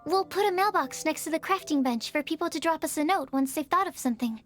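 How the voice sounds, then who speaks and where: A woman voicing a gentle, curious and polite young woman in a video game, with a considerate, slightly tentative manner. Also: high voice, expressive, fast talker, soft background music. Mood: kind, concerned.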